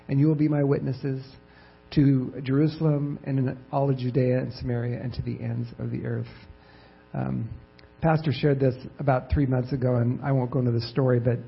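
A soft-spoken man speaks from a church with a gravelly, husky voice and a halting, repetitive delivery. The sound is dull and lo-fi, like an older church recording. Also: mid-range voice. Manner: halting, with many fillers and repeated words. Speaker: church missions president. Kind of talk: lay sermon with scripture reading. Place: church sanctuary.

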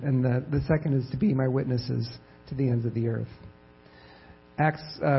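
Soft-spoken male lay preacher, gravelly and a bit raspy, stumbling over fillers. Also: mid-range voice. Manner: halting, with many fillers and repeated words. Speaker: church missions president. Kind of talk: lay sermon with scripture reading. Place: church sanctuary. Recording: dull lo-fi church recording.

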